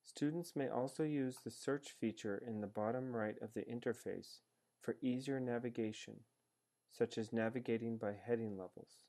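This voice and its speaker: A man reading a scripted software tutorial in a measured, explanatory manner as a voice-over. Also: mid-range voice. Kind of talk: scripted instructional narration. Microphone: screencast voice-over.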